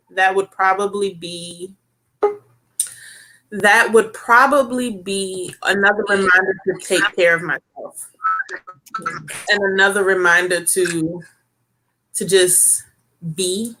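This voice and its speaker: A woman hosts a relaxed talk show, speaking with a bright, forward tone. She chats casually, tossing in fillers and asking "right?" as she goes. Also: mid-range voice, rough recording. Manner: chatty, with many fillers and tag questions. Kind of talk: casual chat.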